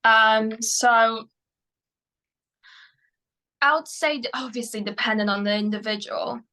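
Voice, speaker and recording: A woman whose first language is Polish, a mental performance coach answering interview questions in English, often pausing with fillers and restarting her sentences. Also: a little high, sharp tone. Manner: hesitant, many fillers and restarts.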